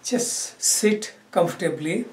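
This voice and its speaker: A man leading a guided meditation as a yoga teacher, giving instruction in short phrases with pauses. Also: high voice, animated, darkish tone. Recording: roomy echo, distant microphone.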